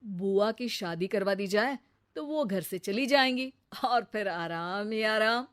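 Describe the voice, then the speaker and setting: A woman's voice: medium pitch, animated, unhurried, close to an everyday voice. A woman voicing a sly, scheming relative in a cartoon family comedy.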